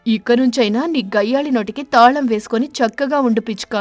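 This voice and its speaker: A woman voicing a firm, caring crow father in an animated bird story. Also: on the high side, fairly quick, no room sound, soft background music, natural voice.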